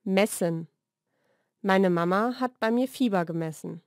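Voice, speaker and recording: A woman narrates a scripted vocabulary lesson. She enunciates deliberately and in a measured way, moving between single words and short example sentences. The sound is dry and close, as in a studio voice-over. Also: medium pitch, dry recording.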